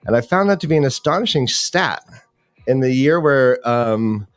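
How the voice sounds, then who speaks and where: A man's voice: medium pitch, expressive, moderate pace, dry recording. Man hosting a casual sports chat. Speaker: host of a fantasy football livestream.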